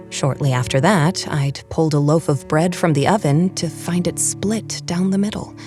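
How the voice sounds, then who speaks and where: A woman reading a first-person story as a dramatic narrator, measured, with phrases that drop into a hush. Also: deep voice. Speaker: audiobook narrator.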